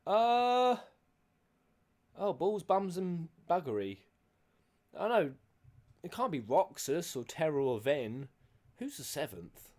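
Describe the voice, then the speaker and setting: A man giving casual, playful and animated reaction commentary as a gaming YouTuber, with some crude humour. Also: a little high, slow, long pauses.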